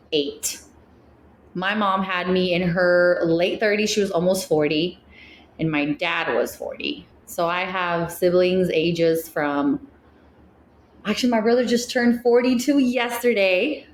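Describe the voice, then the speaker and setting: A woman's voice: fairly low pitch, fairly even intonation, a little slow, echoey room, slight background noise. A woman in her thirties from Mexico, Spanish her first language, co-hosting a podcast with blunt, dry jokes. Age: 30s. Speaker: podcast co-host. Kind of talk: casual chat.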